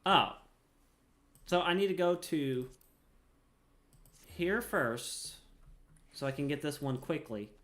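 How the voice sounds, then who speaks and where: A man's voice: on the high side, animated, slow, long pauses, fairly bright tone. A man giving casual gameplay commentary, muttering asides to himself and exclaiming playfully. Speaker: gamer recording a playthrough.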